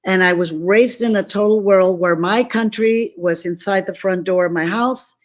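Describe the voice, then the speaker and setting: Woman from San Antonio with Spanish as her first language, interview guest, emphatic and earnest, mid pitch. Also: normal speed. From San Antonio, Texas. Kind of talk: motivational interview talk.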